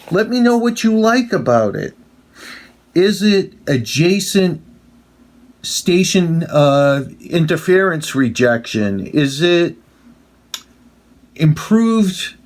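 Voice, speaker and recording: A man giving a measured, plain-spoken casual review and demonstration as a hobbyist. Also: high-pitched.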